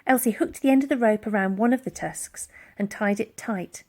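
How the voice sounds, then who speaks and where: A woman reading a children's story aloud in an expressive, dramatic storytelling style. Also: on the high side, normal speed. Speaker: audiobook reader.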